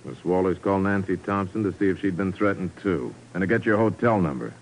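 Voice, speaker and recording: A deep, fast-talking touchy suspect. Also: male voice, slight background noise, rough recording. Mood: defensive. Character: defensive, touchy male murder suspect. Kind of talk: 1950s radio drama acting.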